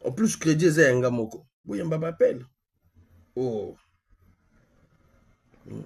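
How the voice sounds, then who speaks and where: A man from Congo with a Congolese accent and a husky voice, speaking insistently as a live-stream host, repeating phrases and asking rhetorical questions. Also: medium pitch, expressive, slow pace. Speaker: online activist host. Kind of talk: live-stream monologue in colloquial speech, with a phone call.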